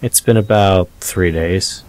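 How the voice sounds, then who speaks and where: Man acting a low, gently reassuring man. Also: slow pace. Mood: calm, comforting. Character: calm, gently reassuring adult man comforting an injured woman.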